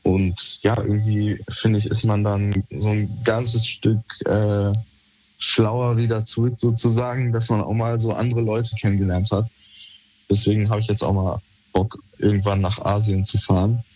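A man's voice: lowish voice, flat delivery, normal speed, mellow, a little hiss or hum. A young man from Berlin answering questions in a laid-back, colloquial chat, on slightly muffled audio. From Germany, Berlin. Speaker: interviewee. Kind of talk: casual chat.

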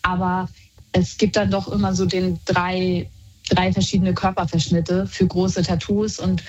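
A woman guest on a radio show, a tattoo artist, talking frankly and lively in a casual chat. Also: fairly low pitch, unhurried, dull audio, slight background noise.

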